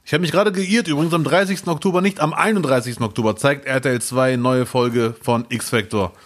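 A man's voice: mid-range voice, steady tone, brisk. Joking German man, casual podcast chat. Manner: joking, self-mocking, laughs often. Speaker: podcast co-host.

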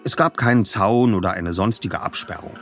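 A man reading a detective story aloud and presenting it in an expressive storytelling manner. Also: on the low side, normal speed, faint music behind. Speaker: audiobook reader and presenter. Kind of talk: scripted narration of a youth detective novel.

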